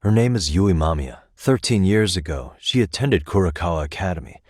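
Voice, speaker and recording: An actor playing an earnest, analytical detective in a video game, talking through deductions in a measured, thoughtful way. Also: male voice, low voice, lively intonation, a little mellow, no room sound, studio quality. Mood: serious, probing. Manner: measured, thoughtful, matter-of-fact delivery. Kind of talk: English video game voice acting, conversational deduction.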